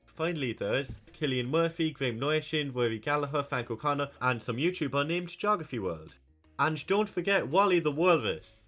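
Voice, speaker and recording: A man with a Cork Irish accent narrates an educational geography explainer in a fairly high voice. His delivery is brisk and informative, and he rattles through long lists of names and places. Soft music plays faintly underneath. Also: expressive. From Ireland, County Cork. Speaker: geography YouTuber.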